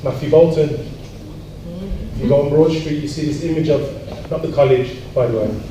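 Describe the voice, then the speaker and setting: A man's voice: on the high side, moderate pace, warm tone. A man talking informally in a punchy, wry, challenging way, full of rhetorical questions. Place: large echoey room at a public event. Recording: very echoey hall, distant mic, clear noise.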